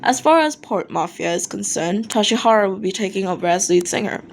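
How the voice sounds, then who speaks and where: A woman's voice: medium pitch, brisk, gravelly, close to an everyday voice. Woman voicing a brash, sheepish male band singer, noisy recording. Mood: earnest. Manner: informal, apologetic, blunt. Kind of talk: acted dialogue in fan fiction reading. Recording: noticeable background noise.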